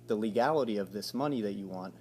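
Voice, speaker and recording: Slow, hesitant man on a phone call. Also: on the low side, darkish tone, slight background noise. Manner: slow, hesitant, mock-innocent questioning. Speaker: caller. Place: home living room by a window.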